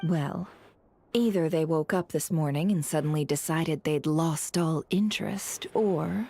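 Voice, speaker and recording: A composed, shrewd woman speaks in a slightly low voice, laying out her points with teasing deliberation. It is video game dialogue, with faint noise behind her. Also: a little slow, warm tone. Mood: knowing, probing. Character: shrewd, composed woman informant, knowing and probing.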